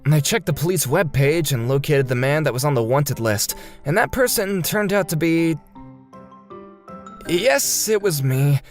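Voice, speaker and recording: Casting: male actor as earnest young cartoon lead narrating his tale, slightly high voice, soft music bed. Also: lively intonation, unhurried. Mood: matter-of-fact. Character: earnest young man, wronged but forgiving storyteller. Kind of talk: scripted manga dub narration.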